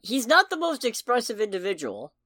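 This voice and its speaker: A woman's voice: on the high side, a little fast, dry recording, clean studio sound. A woman co-hosting a podcast, chatting casually about a film with wry, profane humor. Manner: wry, profane, lively storyteller. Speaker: podcast co-host. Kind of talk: casual movie review chat.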